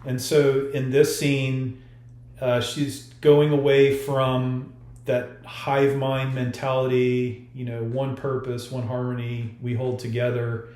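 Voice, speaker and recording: Male author, podcast guest, mid-pitched voice, thoughtful explainer, roomy echo on the recording. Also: flat delivery, slow, darkish tone. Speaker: author being interviewed. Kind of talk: podcast interview about a novel. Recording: roomy, echoey sound.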